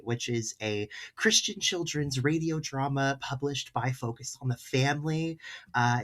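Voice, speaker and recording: A podcast guest speaks in an informal, conversational way. The voice sits in a middle register for a man, with an easygoing chatty delivery. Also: medium pitch. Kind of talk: casual chat.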